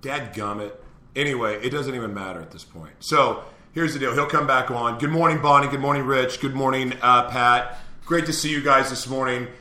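Upbeat bright-voiced male host. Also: medium pitch, brisk, reverberant. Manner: upbeat, laughing easily. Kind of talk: casual live show chat.

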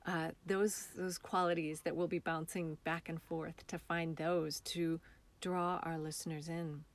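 An American woman speaking as a guest artist in a reflective interview, thoughtful and halting as she searches for words. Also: low-pitched, flat delivery. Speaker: guest, local artist and theatre maker.

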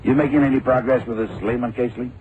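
An actor playing a brisk, friendly office colleague, informal, in a vintage radio drama. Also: male voice, medium pitch, steady tone, close to an everyday voice. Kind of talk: 1960s-70s radio drama acting. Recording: tinny, noisy old radio drama recording.

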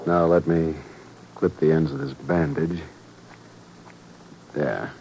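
An actor playing a calm, matter-of-fact doctor in a 1950s radio drama. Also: male voice, extremely low pitch, takes it slowly, heavily acted. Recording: noisy old radio broadcast recording.

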